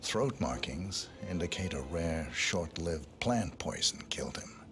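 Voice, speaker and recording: A man playing a cool, terse assassin posing as a detective in a video game, deadpan and clipped. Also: sits low, a little slow, background noise. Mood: cool, detached.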